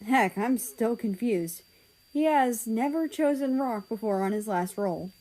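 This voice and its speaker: A woman's voice: fairly high pitch, lively intonation, a little slow, mellow, poor audio. A woman reading a first-person story aloud as scripted narration. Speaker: fan fiction reader.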